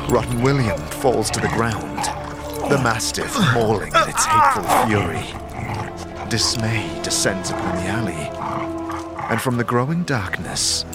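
A man narrating a fantasy audio drama in a dramatic, scripted storytelling style. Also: medium pitch. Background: prominent music.

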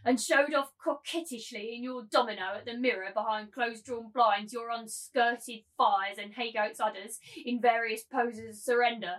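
A woman's voice: fairly high pitch, a bit bright, distant mic, natural voice, rough recording. A woman playing a cruel, gloating, domineering madam in a theatrical reading of a novel, taunting and imperious. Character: cruel, gloating, domineering brothel madam turned masculine tyrant. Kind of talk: dramatic table reading of a novel.

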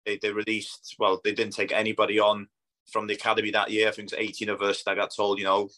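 A man in his thirties with a fairly deep, forceful voice and little melodic swing. His accent blends Polish with Scandinavian and northwest English, Polish being his first language. He talks as a footballer in a relaxed interview, plainly and conversationally. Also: fast talker. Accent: Polish mixed with Scandinavian and northwest English. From Poland. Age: 30s. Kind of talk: casual interview chat.

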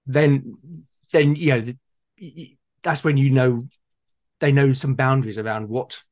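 A man in a casual discussion, halting and repeating words as he forms his ideas. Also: mid-range voice, fairly even intonation. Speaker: conversation partner. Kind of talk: casual discussion of role-playing games.

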